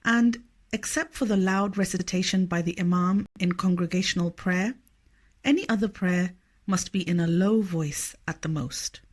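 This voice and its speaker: A woman reading a scripted scripture study aloud in a measured, formal manner. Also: on the low side, a little mellow. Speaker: reader of a religious study text.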